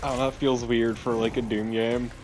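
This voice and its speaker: A man's voice: mid-range voice, level tone, normal speed, noisy background. A man co-commentating casually on a video game, blunt and sweary. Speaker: let's play co-commentator. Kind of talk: casual chat over a game.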